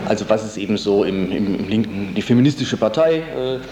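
A man being interviewed on the radio as a political organizer, speaking spontaneously and haltingly, often searching for words. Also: fairly low pitch, animated, mellow. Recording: roomy, echoey, faint background noise.

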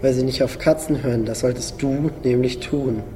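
A man playing a blunt, worldly-wise talking cat in a radio play, gruff and matter-of-fact. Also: medium pitch, steady tone, normal speed, mellow, close to an everyday voice. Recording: long reverb, faint music behind.

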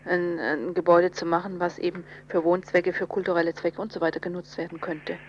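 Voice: mid-range voice, little pitch movement, brisk. An interviewer putting questions in an interview. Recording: dull sound with electrical hum.

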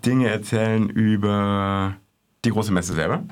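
Male art correspondent, lowish voice, hesitant delivery full of fillers, radio studio. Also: fairly even intonation, unhurried, clear, resonant tone. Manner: hesitant, many fillers and restarts. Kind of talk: casual radio chat, reporting on art fairs.

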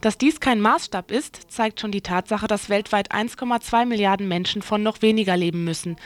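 A woman working as a radio newsreader, speaking into a studio microphone in a booth. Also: medium pitch. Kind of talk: scripted news reading.

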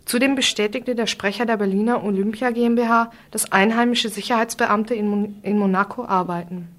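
A woman's voice: medium pitch, steady tone, brisk. A woman reading scripted short news items on the radio.

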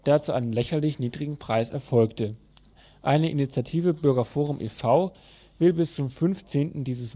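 A man's voice: mid-range voice, dark tone. A man presenting a news bulletin on community radio, reading from a script. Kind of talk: read local news bulletin.

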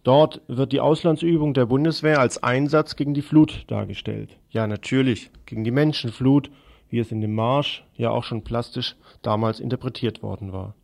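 Creaky-voiced male radio news presenter. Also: mid-range voice, moderate pace, darkish tone. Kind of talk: scripted news and commentary.

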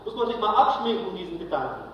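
A man's voice: high-pitched, animated, fast talker. A man delivering a polemical political lecture as a congress speaker, emphatic and repeating phrases for stress. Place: large hall, live speech. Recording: very echoey hall, distant, dull, faint noise.

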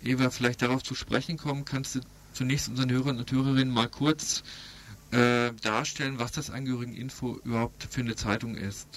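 A man's voice: mid-range voice, steady tone, fairly quick. A man hosting a radio program, reading introductions and putting questions to a guest. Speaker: radio host and interviewer. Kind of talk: radio news intro and interview.

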